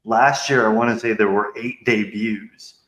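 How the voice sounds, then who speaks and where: A man's voice: on the low side, fairly even intonation, a little slow, a bit bright. A man hosting a podcast, asking questions in a casual, chatty interview style. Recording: echoey room.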